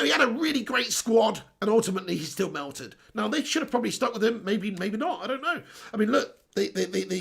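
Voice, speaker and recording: A man with a hoarse, worn-out voice hosts a casual live stream, talking in loose, rambling runs. His voice sits fairly high and crackles with heavy fry. It sounds husky throughout. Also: fairly bright tone. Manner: rambling, repeats himself, reads viewer comments aloud. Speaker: host of a fan live stream.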